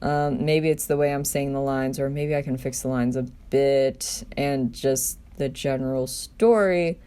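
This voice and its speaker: A woman's voice: a bass voice, steady tone, a little slow, warm tone, clear, resonant tone. A woman casually presenting her own writing, hesitant and self-deprecating. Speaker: writer presenting own scenes. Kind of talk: casual chat and script reading.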